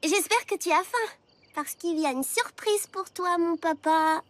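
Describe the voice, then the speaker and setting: A woman's voice: very high voice, measured pace, fairly bright tone, dry recording. A woman voicing a caring, resourceful young girl in a dubbed cartoon, talking in an earnest, chatty way. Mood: sweet. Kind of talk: cartoon dubbing.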